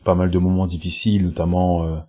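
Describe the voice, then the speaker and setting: A man's voice: low-pitched, flat delivery, fast, dark tone. A man from Congo, a pro basketball player, talking casually as a podcast guest, hesitant with many fillers and repeats, recorded on his own home podcast mic. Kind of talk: casual interview talk. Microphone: home podcast mic with headset.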